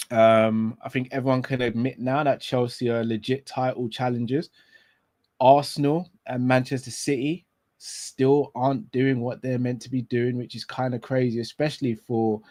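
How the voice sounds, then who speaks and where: A man hosting a casual live fan show, his voice raspy and a bit hoarse and tired, talking in an opinionated way and picking apart wording with repeated rhetorical questions. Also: medium pitch. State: hoarse and tired after illness. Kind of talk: casual live football talk.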